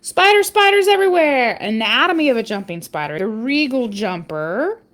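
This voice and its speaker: A woman reading a children's picture book aloud, with expressive storytelling emphasis and chatty asides. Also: fairly high pitch, moderate pace. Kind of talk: animated read-aloud storytelling with casual asides.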